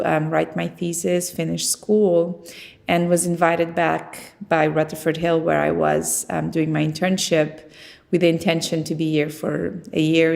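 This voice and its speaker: A woman's voice: sits low, creaky, a little mellow. A middle-aged woman from Portugal, talking conversationally about her work in an interview. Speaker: winemaker being interviewed.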